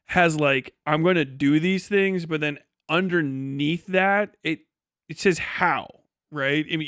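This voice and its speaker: Blunt, profane man with a raised pitch. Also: a bit bright, no echo, studio quality. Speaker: livestream host reacting to a debate video. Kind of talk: casual opinionated live commentary.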